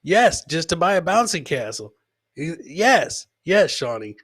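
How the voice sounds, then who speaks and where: A man's voice: a little high, big pitch swings, unhurried, a bit bright, breathy edge, no room sound, studio quality. A man hosting a comedy podcast, commenting casually on funny clips and repeating his phrases for comic effect.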